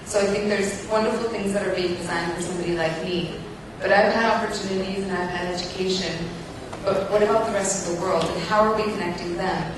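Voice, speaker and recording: A woman's voice: mid-range voice, steady tone, a little fast. A woman in her twenties speaking thoughtfully and unscripted as a participant in a conference discussion. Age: 20s. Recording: roomy, distant mic, noisy hall.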